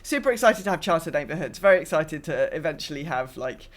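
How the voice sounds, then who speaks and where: High-voiced man chatting casually. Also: lively intonation, quick pace. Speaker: podcast guest. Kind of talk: casual gaming podcast chat.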